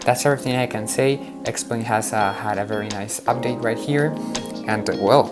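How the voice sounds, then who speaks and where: A man's voice: medium pitch, moderate pace. A man hosting a casual app walkthrough, explaining things informally step by step. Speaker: tutorial host. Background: background music.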